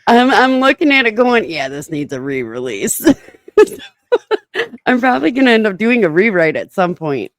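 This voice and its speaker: A woman's voice: medium pitch, sing-song, unhurried, no echo. A woman with a smoky voice chatting casually as a podcast host, self-mocking and laughing often. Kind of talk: casual chat.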